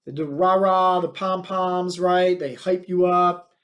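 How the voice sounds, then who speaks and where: A man's voice: sits high, takes it slowly. A man in his thirties, a fitness coach hosting his own podcast, talking bluntly and with many fillers in a motivational monologue. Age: 30s. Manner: energetic, filler-heavy, many "like" and "right?".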